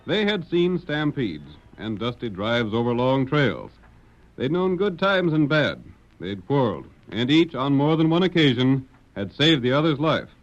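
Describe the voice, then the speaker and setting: A man's voice: medium pitch, big pitch swings, measured pace, soft background music. A man with an American accent narrating a scripted old-time radio drama in a formal, dramatic announcer style. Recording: dull old radio recording.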